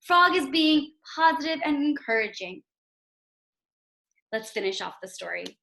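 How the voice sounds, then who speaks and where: A woman's voice: high-pitched, very animated, unhurried, creaky, bright, forceful tone, roomy sound. A woman teaching young children in a read-aloud lesson, instructional, repeating key points and checking understanding. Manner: instructional, repetitive emphasis, checks with "right?" and "okay?". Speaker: elementary school teacher.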